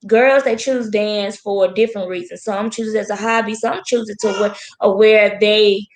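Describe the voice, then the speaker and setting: A woman guest on a casual podcast, a dance coach talking in a blunt, animated, motherly way. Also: mid-range voice, normal speed.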